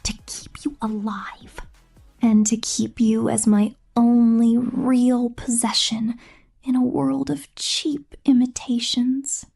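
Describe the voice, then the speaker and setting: A woman voicing a possessive, cold female killer drone robot in a breathy, slow, menacing whisper for an ASMR roleplay. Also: fairly high pitch, flat delivery, warm tone, faint music behind, full character voice.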